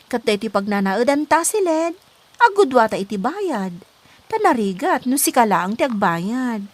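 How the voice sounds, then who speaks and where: A woman acting as a young working woman in a comedy drama, with a very high voice. She is practical and firm, speaking matter-of-factly. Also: big pitch swings, measured pace.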